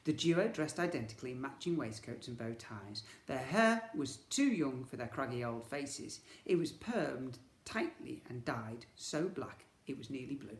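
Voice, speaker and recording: A man reading a children's story aloud in an expressive, theatrical way, with emphatic delivery. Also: medium pitch, normal speed, hardly any pauses, a little hiss or hum. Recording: echoey room.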